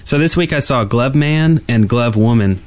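A male podcast co-host with an average-pitched voice. He talks casually and rambles a little, pausing as he hunts for the next thing to say. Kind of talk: casual chat.

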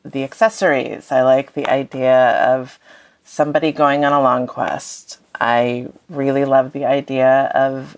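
A woman's voice: very deep voice, creaky. A woman speaking as a guest author in a thoughtful interview, hesitantly searching for her words.

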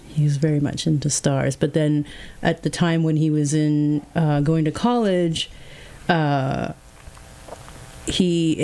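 A woman's voice: sits low, dark tone. A woman guest in a casual interview, talking reflectively with many fillers like "like" and "um", with faint background noise. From England.

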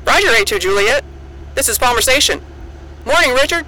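An actor playing a brisk, caring male radio operator at a polar station, procedural and clipped, in an audio drama. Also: very high voice, lively intonation, pushed and bright, exaggerated voice, poor audio. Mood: businesslike, collegial.

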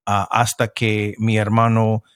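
A man's voice: lowish voice, completely flat delivery, slow pace, no room sound, clean studio sound. A middle-aged man, a podcast guest speaking his hesitantly, with many restarts and fillers. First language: English. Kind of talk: casual interview chat.

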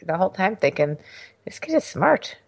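A woman's voice: medium pitch, very animated, moderate pace, creaky, slightly rough. A middle-aged woman co-hosting a casual podcast, chatty and teasing, rambling with restarts. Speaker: podcast co-host, sex expert. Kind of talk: casual chat.

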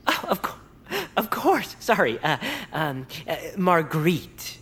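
A woman voicing an eager, earnest and over-optimistic word-game contestant in an audio drama, husky and easily flustered. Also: low-pitched, very animated, slow pace, a bit bright, full character voice. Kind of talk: audio fiction acting.

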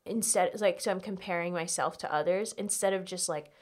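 A woman's voice: lowish voice, brisk. A woman in her late twenties chatting casually and confessionally on a podcast, speaking candidly with "like" in nearly every phrase. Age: late 20s. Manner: candid, filler-heavy, uses "like" constantly.

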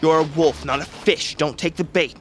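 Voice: medium pitch, fairly even intonation, moderate pace, lightly acted. An actor playing a cheerful young blind male storyteller who teases his sister. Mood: teasing. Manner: teasing, easygoing.

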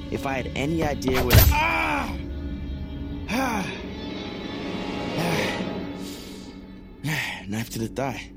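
An actor playing a sardonic, smooth-talking criminal mastermind in an audio drama, dry and measured under threat. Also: male voice, medium pitch. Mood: pleading. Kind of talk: audio fiction acting. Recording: loud music underneath. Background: music.

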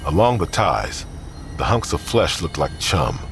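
A man with an extremely deep voice narrates a crime story. His delivery is scripted, grim and deliberate, set over clearly audible ambient noise. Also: sing-song.

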